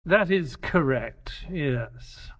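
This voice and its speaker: A man acts a proper, slightly exasperated companion who also narrates an audio play. His voice sits on the high side and moves expressively, with slow, precise, polite phrasing. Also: expressive, fairly bright tone, exaggerated voice. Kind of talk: audio drama acting and first-person narration.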